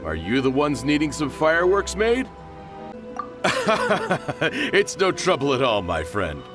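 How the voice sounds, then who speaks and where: A man's voice: on the high side, wildly swooping pitch, slow, over music, full character voice. A man playing a genial, generous middle-aged merchant and father in a video game, easygoing and hearty. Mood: warm, reassuring. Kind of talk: video game character acting.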